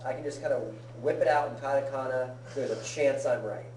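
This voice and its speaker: A woman taking part in a lesson as a student, chiming in with quick joking remarks. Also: rumbling low, fairly even intonation. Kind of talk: casual chat during a lesson. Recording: distant voice in an echoey, noisy room.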